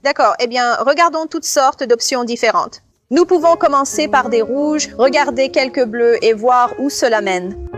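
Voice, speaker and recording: A woman's voice: sits high, moderate pace, natural voice. A woman voicing an obliging bridal shop consultant guiding clients in a dubbed reality show. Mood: helpful. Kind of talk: dubbed reality show, customer service talk. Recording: faint music under the voice.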